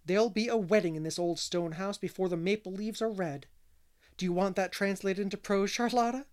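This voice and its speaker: A man's voice: a little high, normal speed, darkish tone, lightly acted. A man reading as an earnest, kindly young woman from a storybook, romantic and dreamy yet firm, with literary phrasing. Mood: gleeful.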